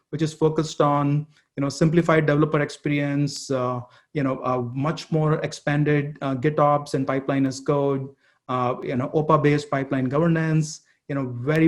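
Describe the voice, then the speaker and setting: A man presenting a product keynote as a company chief executive, speaking haltingly and often repeating words and phrases. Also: a little high.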